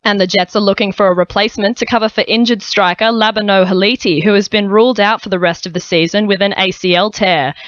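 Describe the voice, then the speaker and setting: A woman's voice: mid-range voice, steady tone, fast talker, few pauses, no echo, dull audio. A woman with an Australian accent reading scripted news as a radio newsreader.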